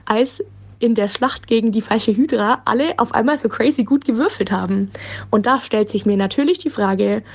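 A woman's voice: mid-range voice, creaky, darkish tone. A woman casually presenting questions for a podcast question-and-answer session. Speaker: question reader.

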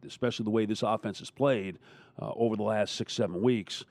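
A man's voice: on the low side, fairly even intonation, brisk, darkish tone, no room sound. A man who works as a radio broadcaster, talking emphatically in a sports roundtable and repeating phrases for stress.

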